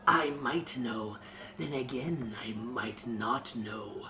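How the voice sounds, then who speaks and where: A man's voice: medium pitch, sharp tone, heavily acted. Man doing a sly, hissing half-cobra man. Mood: coy, sly. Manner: coy, drawn-out bargaining. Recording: noticeable background noise.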